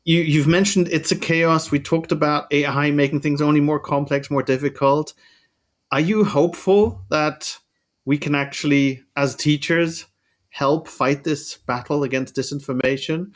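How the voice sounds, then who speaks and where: A man's voice: on the high side, fairly even intonation, normal speed. A man hosting an education podcast, asking questions and wrapping up the episode in a fluent, upbeat way. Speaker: podcast host. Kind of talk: interview hosting, wrap-up.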